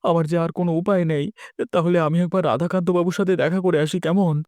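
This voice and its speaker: A man's voice: sits high, steady tone, quick pace, a little mellow, no room sound, lightly acted. A man playing a distraught village father who pleads and laments in a cartoon. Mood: anguished, remorseful. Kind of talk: cartoon dialogue acting.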